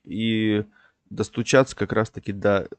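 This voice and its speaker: A man's voice: fairly low pitch, flat delivery, a little slow, dry recording. A man hosting a livestream, explaining things in a rambling way full of fillers. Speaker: stream host. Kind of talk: livestream chat.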